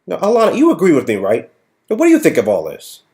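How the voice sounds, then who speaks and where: Sarcastic, animated man as acted by a reader. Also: on the high side, fast talker, voice pushed up. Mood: agitated. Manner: sarcastic, exaggerated outbursts. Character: sarcastic, excitable, grumbling man named Damien. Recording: music clearly behind the voice.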